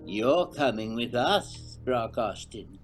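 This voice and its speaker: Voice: mid-range voice, animated, slow pace, faint music behind, pitched below the performer's own voice. A performer voicing an eccentric, menacing male government agent with a theatrical, swooping delivery in an audio drama. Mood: sly, menacing.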